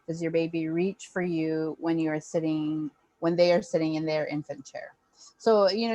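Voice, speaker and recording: A woman's voice: on the low side, darkish tone, dry recording, rough recording. A woman, facilitating a parenting program and explaining things conversationally to parents. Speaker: early childhood program facilitator.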